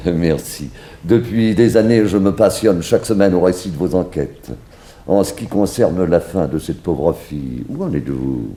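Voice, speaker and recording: Fussy excitable male fashion designer, old radio play, low voice swooping all over, stammery. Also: measured pace. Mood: courteous, shocked. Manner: theatrical, stammering repetitions and exclamations. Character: flustered, effusive, exacting male fashion designer. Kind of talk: 1960s French radio serial acting.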